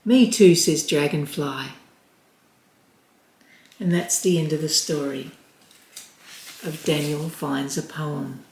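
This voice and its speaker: A woman reading a children's picture book aloud as storyteller, in a gentle, clear way. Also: deep voice, steady tone, slow, pauses often. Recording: roomy echo.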